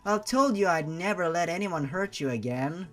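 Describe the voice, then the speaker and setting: A man doing a soft-spoken young lover. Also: fairly high pitch, animated, soft background music, lightly acted. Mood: gentle. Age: young adult. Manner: soft, slow and hushed. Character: tender young man comforting his love.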